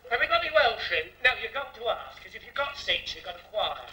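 Very high-pitched, brash pantomime dame, acted by a man. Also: sing-song, quick pace, background noise. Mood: saucy, teasing. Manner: cheeky, declamatory, coaxing the audience. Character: brash, bossy, saucy older woman, pantomime dame. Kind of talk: theatrical music-hall pantomime patter to an audience. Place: theatre stage with painted scenery. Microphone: stand microphone, picked up from the auditorium. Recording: echoey theatre, distant old videotape sound.

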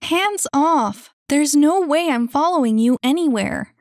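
A woman voicing a feisty, indignant girl in a storybook, high-pitched, sharp and defiant, quick to challenge and exclaim. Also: expressive, no room sound, studio quality. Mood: alarmed, defiant.

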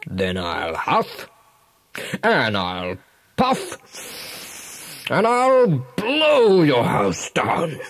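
A man playing a slow, menacing big bad wolf. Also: on the high side, wildly swooping pitch, a bit bright, voice pushed up, full character voice. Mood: threatening.

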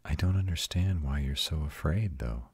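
A man voicing a tender, protective male vampire in an intimate, hushed audio roleplay, soothing and husky. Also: lively intonation, normal speed, dry recording, full character voice. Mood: reassuring. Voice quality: very deep, husky, often breathy whispering. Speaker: voice actor.

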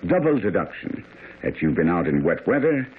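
An actor playing a brilliant, self-assured, teasing gentleman detective in a radio drama, lecturing theatrically. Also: low voice, sing-song, moderate pace, slightly rough, heavily acted. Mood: self-assured, amused. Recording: lo-fi, narrow vintage radio sound.

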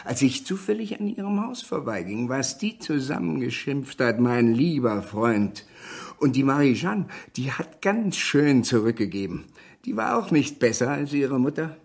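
Older male villager, radio crime play. Husky voice, nosy, chummy and insinuating gossip. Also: medium pitch, very animated, exaggerated voice. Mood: gossipy, indignant. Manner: conspiratorial, insinuating, drawn-out hints. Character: nosy, gossipy old village man, sly busybody. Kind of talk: German radio crime drama acting.

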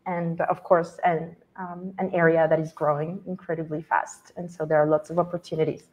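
A woman in her thirties from Colombia with a lowish voice, answering interview questions as a guest developer. She is friendly, laughs lightly and pauses on "um" now and then, speaking over a headset on a video call. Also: level tone, low-quality recording. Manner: friendly, soft laughs, some "um" hesitations. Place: home room, video call.

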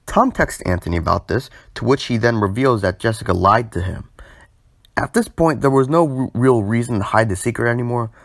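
A man's voice: medium pitch, fairly quick, gravelly, a bit bright, no room sound, clean studio sound. A young man narrating a storytime video informally, with a sardonic manner and bursts of exaggerated emphasis.

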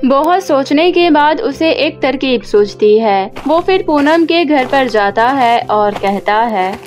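A woman's voice: high-pitched, expressive, slow pace. A woman narrating a scripted story as a storyteller. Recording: music under the voice.

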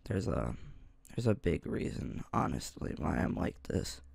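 A man playing an irritable, secretly smitten high school boy in an ASMR roleplay, grumbling and halting as he talks to the listener. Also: a little mellow, dry recording, full character voice. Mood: awkward, hesitant. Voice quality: low, gravelly. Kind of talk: ASMR roleplay, one-sided dialogue to listener. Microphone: close mic, dry.

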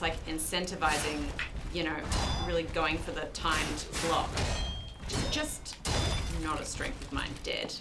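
Lively, chatty woman gaming at her desk. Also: lowish voice. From New Zealand. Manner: chatty, self-mocking, exclaims at the game. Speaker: gaming video host. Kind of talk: casual let's-play commentary. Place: small decorated room indoors.

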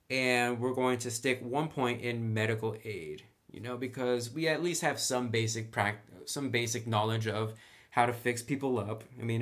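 A man's voice: medium pitch, a bit bright. A man hosting a tabletop game tutorial, explaining casually and thinking aloud as he goes. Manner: thinks aloud while writing on a sheet. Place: indoors at a table.

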